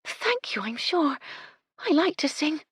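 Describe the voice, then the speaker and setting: A woman's voice: high-pitched, sing-song, takes it slowly, fairly bright tone, dry recording, studio quality, voice pushed up, full character voice. A woman voicing a shy, dreamy young housemaid who speaks timidly and apologetically in a raised voice. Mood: shy delight.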